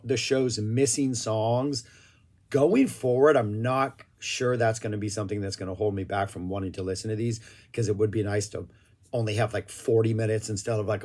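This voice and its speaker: A man casually reviewing live concert recordings, opinionated and chatty, with a slightly rough voice and occasional throat clearing. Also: medium pitch. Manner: chatty, opinionated, loose with filler words. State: throat a little rough, clearing it now and then. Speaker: music review vlogger. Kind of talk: casual spoken album review.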